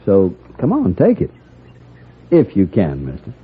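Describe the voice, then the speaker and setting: An actor playing a taunting, swaggering thief and gunslinger in a 1950s radio Western, rustic and goading in manner. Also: male voice, low-pitched, wildly swooping pitch, measured pace, slightly rough, heavily acted. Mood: taunting menace. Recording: tinny, noisy 1950s radio broadcast.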